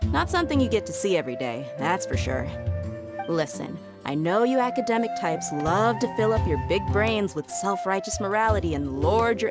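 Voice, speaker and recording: An actress playing a tough, brash mercenary guardian in a video game, blunt, mocking and assertive. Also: female voice, medium pitch, close to an everyday voice. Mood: sardonic. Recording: studio voice under prominent music.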